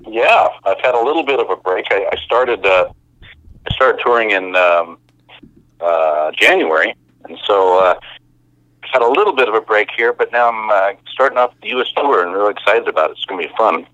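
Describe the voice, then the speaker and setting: A man in his fifties talking casually as a guest, relaxed and halting, with many fillers and easy laughs. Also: lowish voice, no room sound. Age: 50s. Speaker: musician guest. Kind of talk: casual interview over the phone. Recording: muffled phone line.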